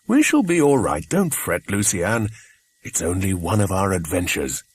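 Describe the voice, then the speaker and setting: A man reading the part of a calm, protective grown man in a children's adventure audiobook, firm and reassuring. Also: low-pitched, moderate pace, breathy edge, no room sound, exaggerated voice.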